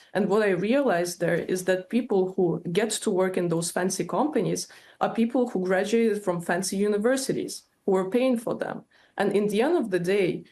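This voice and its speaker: A woman's voice: mid-range voice, darkish tone. A young woman in her twenties from Ukraine, earnestly explaining her views as an interview guest.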